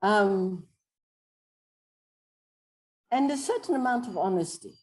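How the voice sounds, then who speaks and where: Woman, professor, unhurried pace, medium pitch, thoughtful pauses, reflective panel answer. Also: lively intonation, a little mellow. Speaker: professor, invited speaker.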